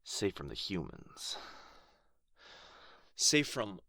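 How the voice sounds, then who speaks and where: A man playing an irritable, proud dragon guarding his hoard in an ASMR fantasy roleplay, with a theatrical, haughty, breathy delivery. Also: low-pitched, huge pitch swings, drawn-out words, bright, forceful tone, dry recording, clean studio sound, exaggerated voice. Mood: weary, wounded.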